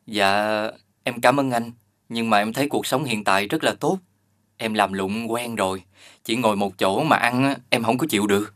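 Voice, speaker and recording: A man's voice: lowish voice, moderate pace, fairly bright tone, close to an everyday voice. Man playing a humble, earnest younger brother. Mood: polite, modest. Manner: polite, deferential, earnest. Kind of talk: animated fairy-tale voice acting.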